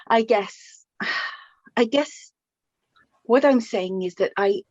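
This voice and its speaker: Woman acting middle-aged widowed mother, gentle, flustered, halting speech, slightly husky, muffled radio play sound. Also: fairly high pitch, dry recording. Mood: flustered, confessional. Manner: hesitant, halting, repeating words, sighing. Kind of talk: naturalistic radio drama dialogue.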